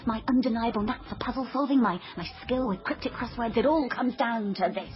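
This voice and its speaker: A British actress playing a self-important, overconfident amateur sleuth, narrating a radio comedy drama in melodramatic hardboiled style. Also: female voice, a little high, brisk, dull audio, lightly acted. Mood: grandly determined. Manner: melodramatic, pompous. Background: prominent music.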